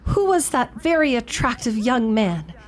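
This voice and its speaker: A woman's voice: on the high side, very animated, a little slow. A woman playing a dreamy yet blunt, vivacious shop clerk in a gushing radio-theatre manner. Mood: smitten, dreamy. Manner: gushing, theatrical, sighs and giggles. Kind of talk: old-time radio theatre acting.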